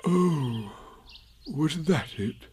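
A man voicing a small, slow-witted, devoted toy bear in a storybook, speaking in a hesitant, wondering way. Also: on the high side, expressive, a little mellow, slightly rough, pitched above the performer's own voice, full character voice. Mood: puzzled, curious.

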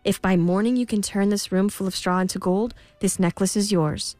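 A woman's voice: mid-range voice, steady tone, moderate pace, a little mellow, dry recording, faint music behind, lightly acted. A woman voicing an anxious, polite young miller's daughter in a fairy tale, earnest and pleading. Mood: wary. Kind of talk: storybook character voice.

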